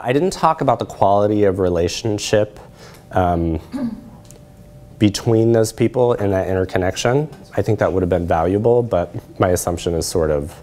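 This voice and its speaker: Middle-aged male academic speaker, lower register, informal lecture style. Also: darkish tone, a little hiss or hum. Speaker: lecturer presenting research. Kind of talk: conversational academic talk with questions. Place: lecture room, indoors.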